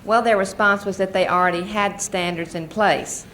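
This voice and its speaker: A woman's voice: mid-range voice, steady tone, moderate pace, fairly bright tone. A middle-aged American woman giving earnest, explanatory testimony as a witness at a formal hearing. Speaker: advocacy group witness and mother. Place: Senate committee hearing room. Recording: noisy archival hearing-room recording.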